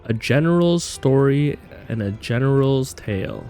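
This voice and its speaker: A man talks his way casually through a video game, speculating as he goes. His pitch moves around a lot, and faint music plays behind him. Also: animated, a little slow, a little mellow. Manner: musing, speculative. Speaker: gamer doing a playthrough. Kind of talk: casual game commentary, thinking aloud.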